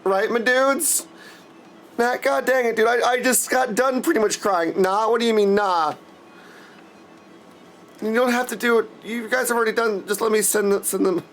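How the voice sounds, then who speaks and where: A man's voice: high-pitched, moderate pace, fairly bright tone, a little hiss or hum. A man hosting a casual live stream, chatting to his viewers in an effusive, gushing way and calling them dudes. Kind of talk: casual livestream chat to viewers.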